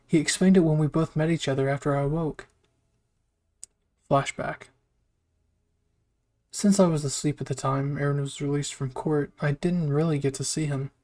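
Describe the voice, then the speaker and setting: A man's voice: a little high, fairly even intonation, normal speed, pauses often, darkish tone. A man reading a scripted first-person story aloud as a narrator. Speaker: fan fiction narrator.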